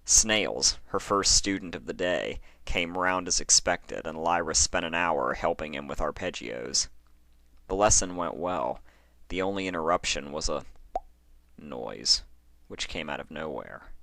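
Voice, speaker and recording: A man with a low, forceful voice narrates a horror story. He phrases deliberately, leaving dramatic pauses between fragments. The recording is dry and close. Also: animated, creaky, no echo. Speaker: fan fiction narrator.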